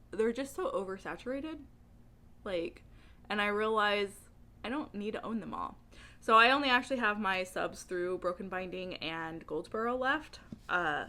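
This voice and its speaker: A woman talking in a casual, chatty book vlog style, with a giggly, animated and informal, rambling manner. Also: mid-range voice. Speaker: book reviewer vlogger.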